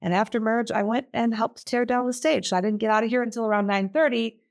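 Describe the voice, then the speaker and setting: A woman pastor co-hosting a casual podcast, chatty and quick to laugh, her voice strained from illness. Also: on the high side, fairly even intonation, darkish tone. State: losing her voice.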